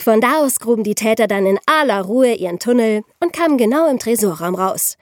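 A woman hosting a podcast, telling true-crime stories in a conversational way and addressing listeners directly, like an animated storyteller. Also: fairly high pitch, a little fast, no room sound, clean studio sound. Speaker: podcast host.

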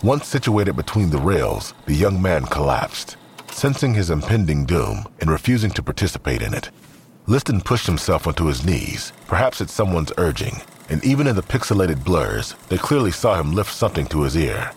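Very deep male dramatic narrator. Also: sing-song, a little hiss or hum. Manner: measured, suspenseful.

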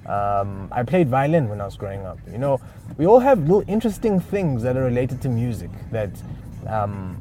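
A man's voice: mid-range voice, sing-song, normal speed, mellow. A man from Uganda, giving casual unscripted advice on music careers with lots of fillers and restarts. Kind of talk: casual spoken advice monologue.

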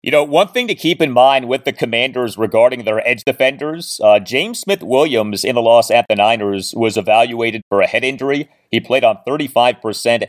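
A man's voice: medium pitch, fairly quick, few pauses. Male sports podcast host, emphatic delivery. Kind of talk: sports commentary with recapped stats.

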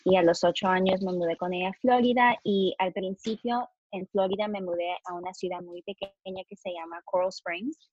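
A woman's voice: lowish voice, fairly even intonation, moderate pace, hardly any pauses, darkish tone, no echo, dull audio. A woman in her thirties from New Jersey, chatting relaxedly as a podcast guest and dropping in English words. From United States, New Jersey. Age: 30s. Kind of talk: casual interview chat.

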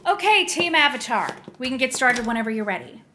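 A woman playing a brusque, imperious and exasperated casting director in a radio play, commanding and deadpan. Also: sits high, a little fast, a little hiss or hum, heavily acted. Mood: businesslike. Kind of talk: scripted radio play acting.

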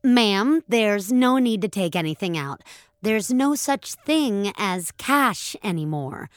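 A woman's voice: mid-range voice, lively intonation, takes it slowly, no echo, clean studio sound. A woman voicing a young, falsely polite customer service agent in an audio drama. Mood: patronizing. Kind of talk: audio fiction acting.

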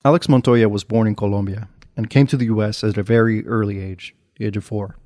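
Earnest male podcast host, low and dry. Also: steady tone, warm tone, no echo. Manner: measured, earnest delivery. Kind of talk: scripted narration.

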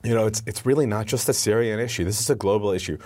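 Earnest, low-voiced man. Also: fast talker, darkish tone. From United States. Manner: earnest, repeats words when starting a thought. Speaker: advocacy spokesperson. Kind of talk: interview answers.

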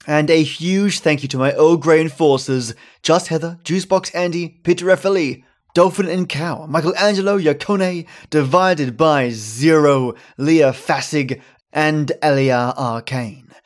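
A man hosting a podcast, delivering scripted thanks and stories in a dramatic way with storytelling pauses. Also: on the high side. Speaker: podcast host. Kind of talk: theatrical scripted narration and listener thanks.

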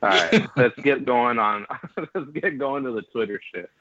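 A man's voice: medium pitch, expressive, measured pace, sharp tone, no room sound, highs cut off. A man co-hosting a podcast, steering the chat in a loose, laughing way. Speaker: podcast co-host.

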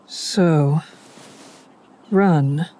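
A woman's voice: sits low, very slow, warm tone, poor audio. Anxious, conflicted woman in audio drama. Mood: worried.

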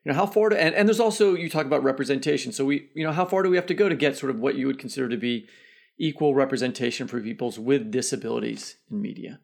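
A man's voice: medium pitch, fast, vocal fry. A man hosting a conversational interview podcast, building long, wandering questions with frequent restarts.

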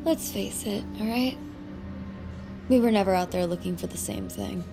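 A woman's voice: mid-range voice, normal speed, over music, close to an everyday voice. An actress playing a hurt, fed-up woman bitterly confronting her friend in a naturalistic audio drama. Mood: resigned, final.

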